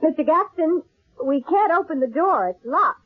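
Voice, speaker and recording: A woman's voice: high-pitched, expressive, normal speed. An actress playing an anxious woman in a 1970s radio mystery, speaking urgently and insistently. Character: anxious, urgent woman pleading to get away. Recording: tinny, band-limited old radio broadcast.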